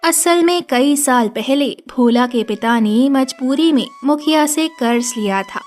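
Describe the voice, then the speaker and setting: Female narrator for scripted children's tales, very high voice, unhurried pace. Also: a little mellow, faint music behind.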